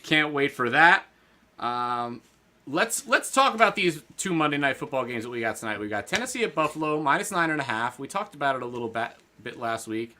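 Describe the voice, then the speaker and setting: This is a man with a very bright, forward tone, co-hosting a sports betting chat. His talk is loose and rambling, full of fillers and restarts. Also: mid-range voice, very animated, a little fast, slight background noise. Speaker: podcast co-host.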